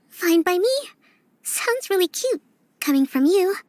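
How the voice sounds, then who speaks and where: A woman's voice: very high voice, sing-song, slow pace, no room sound, heavily acted. A woman voicing a poised, cheerful young lady with polite, formal phrasing in a video game cutscene. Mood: gracious, amused.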